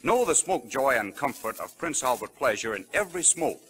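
An American man reading a polished, scripted sales pitch as a 1930s radio announcer. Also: very animated, fairly quick, a bit bright. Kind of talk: 1930s radio advertising read. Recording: vintage 1930s radio broadcast.

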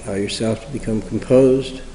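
Mellow man leading prayerful meditation. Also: lowish voice, fairly even intonation, a little hiss or hum. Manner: slow, measured, reverent, long pauses. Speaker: meditation leader. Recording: reverberant hall sound.

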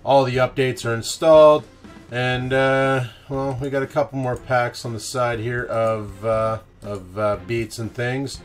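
A man's voice: medium pitch, fairly even intonation, unhurried, faint music behind. A man hosting a casual screen-recorded tech tutorial, talking informally with slang and mild swearing. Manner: informal, slangy and mildly profane. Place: indoor voice-over of a screen recording.

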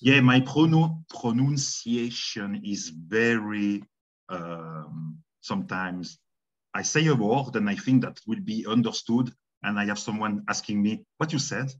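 A man from Switzerland with a Swiss French accent, co-hosting an online meeting in halting English and often repeating words. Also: on the low side, expressive, muffled recording. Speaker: community meeting co-host. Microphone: video call.

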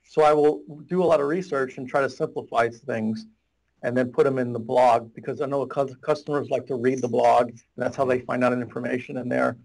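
A man's voice: medium pitch, fairly even intonation, brisk, vocal fry, a little mellow, rough recording. Middle-aged man explaining on a video call. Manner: rambling, restarts, gestures a lot while explaining. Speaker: guest, data warehouse architect. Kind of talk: casual explanatory interview. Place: small office room with whiteboard. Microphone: video call webcam.

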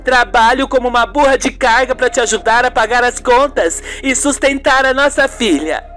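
A performer voicing an exasperated, sharp-tongued young wife and mother, scornful and scolding, in an amateur radio soap. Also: medium pitch, normal speed, pitched above the performer's own voice, natural voice. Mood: indignant. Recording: music bed under the voice.

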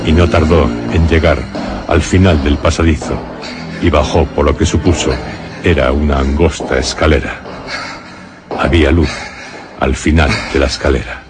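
A man's voice: rumbling low, fairly even intonation, moderate pace, long pauses. A man from narrating a scripted radio horror and suspense drama in a solemn, dramatic storytelling manner. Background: music.

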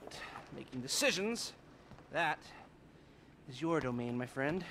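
A dry, methodical heist planner. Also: male voice, mid-range voice, expressive, measured pace, a little hiss or hum. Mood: planning. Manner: soft-spoken, dry, hesitant with fillers.

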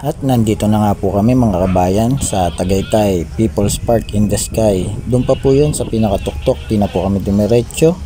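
A man's voice: on the low side, moderate pace, few pauses. A man giving casual, polite travel vlog narration to his viewers. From Philippines. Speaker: travel vlogger. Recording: music behind the voice. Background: background music.

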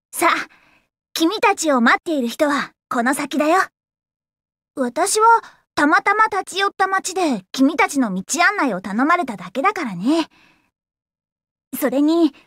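Casting: friendly young girl adventurer for an anime game, very high-pitched, upbeat and frank, dry close studio sound. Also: fairly bright tone, dry recording, exaggerated voice. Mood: urgent, alert. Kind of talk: Japanese anime game voice acting. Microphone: close, dry studio recording.

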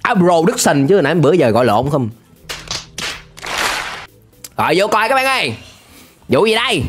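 A man's voice: a little high, sing-song, moderate pace, faint music behind. A young man with a southern Vietnamese accent giving playful, exclamatory reaction commentary in a casual, chatty way on a close microphone. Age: young adult. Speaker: reaction video host. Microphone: close desk microphone with headset.

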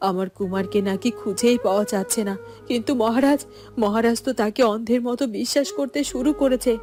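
A woman voicing an anxious, suspicious queen in a cartoon, fretful and haltingly repeating her words. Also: a little high, fairly even intonation, dark tone, soft background music, lightly acted. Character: anxious, suspicious queen, protective mother of a prince. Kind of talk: Bengali cartoon voice acting.

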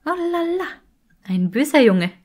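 A woman's voice: sits high, sing-song, measured pace, darkish tone, voice pushed up. A woman voicing the flirtatious, chatty skull of a long-dead female saint in an audiobook, trilling and cooing theatrically. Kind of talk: audiobook character acting.

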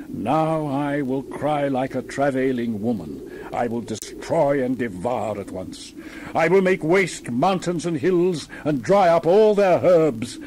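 A man's voice: mid-range voice, darkish tone, slight background noise. A man giving a dramatized Bible reading as narrator, solemn and declamatory with dramatic emphasis.

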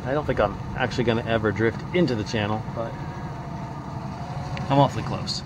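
A man's voice: mid-range voice, fairly quick, noisy background. A man in his forties giving casual, understated vlog commentary outdoors on a sailboat, muttering brief asides into a nearby camera. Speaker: solo sailor vlogging. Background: music.

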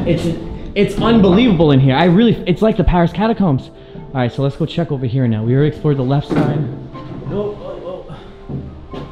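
A young man in his twenties talking excitedly to a handheld camera as he explores an abandoned sea fort, thinking aloud. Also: fairly high pitch. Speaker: urban explorer vlogger. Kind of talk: casual handheld vlog narration. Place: inside an abandoned sea fort, concrete corridors and rooftop.

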